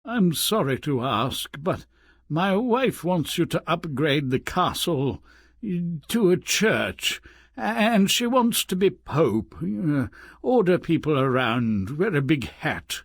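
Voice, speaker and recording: A man's voice: a little high, very animated, takes it slowly, no room sound, studio quality, exaggerated voice. A man playing a meek, doting fisherman husband, hesitant and apologetic, in a fairy-tale game. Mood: meek, placating.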